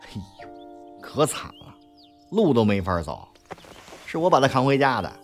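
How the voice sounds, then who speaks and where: An actor playing an informal, loyal young underling in an audio drama, slangy and hesitant. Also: male voice, mid-range voice, sing-song, normal speed, faint music behind. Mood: confiding. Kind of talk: Chinese audio drama acting.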